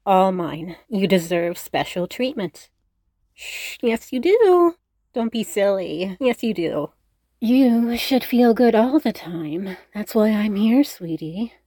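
A woman playing a doting, teasing, dominant girlfriend in an intimate roleplay audio, cooing and coaxing, sometimes slipping into soft whispers. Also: medium pitch, dry recording. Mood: tender, possessive. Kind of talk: intimate scripted roleplay audio addressed to the listener.

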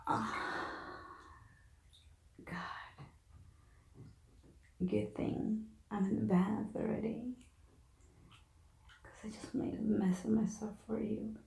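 Breathy whispering woman in echoey bathroom. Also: medium pitch, dark tone. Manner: breathy, often whispering, moaning. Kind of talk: intimate erotic audio monologue. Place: bathtub in a bathroom. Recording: echoey bathroom, distant mic.

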